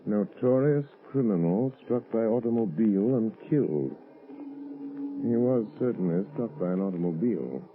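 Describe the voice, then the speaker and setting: A man playing a composed, thoughtful gentleman in a 1930s radio drama, calm and measured, on a tinny old recording. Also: fairly low pitch.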